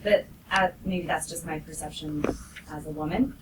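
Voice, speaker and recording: A woman's voice: low voice, fairly even intonation, normal speed. A woman speaking casually as a panelist in a podcast discussion, recorded with noise around her.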